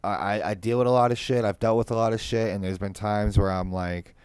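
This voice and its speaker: Young man, Boston accent, deep voice, dry close sound, relaxed swearing chat. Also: quick pace, clear, resonant tone, no echo. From Massachusetts. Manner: laid-back, swears freely, lots of "like" and "you know". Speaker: guest musician. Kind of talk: casual podcast conversation.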